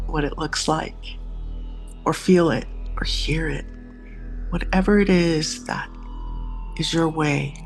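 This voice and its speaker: A man leading a guided meditation in a soothing, instructive manner with long pauses. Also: a little high. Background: music.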